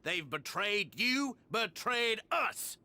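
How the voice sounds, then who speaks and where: A man's voice: sits high, sing-song, takes it slowly, sharp tone, exaggerated voice. A man playing a cruel, tyrannical cult leader in a video game, grandiose, theatrical and menacing. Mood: menacing fury.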